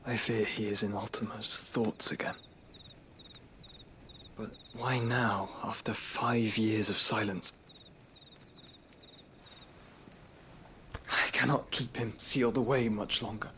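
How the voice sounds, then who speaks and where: An actor playing a noble, burdened young man in a fantasy game, speaking in a formal, measured and courteous way. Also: on the low side, fairly even intonation, bright, forceful tone, a little hiss or hum. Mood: troubled. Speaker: video game character. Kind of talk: dramatic cutscene acting.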